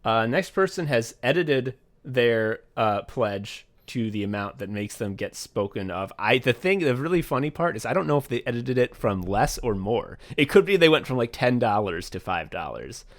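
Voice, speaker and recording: Rambling, laughing young podcast host. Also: male voice, mid-range voice, big pitch swings, normal speed, hardly any pauses. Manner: laughing, loose and rambling, swears freely. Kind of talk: casual podcast chat.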